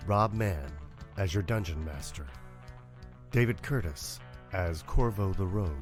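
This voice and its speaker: A man reading scripted closing credits as an announcer. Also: deep voice.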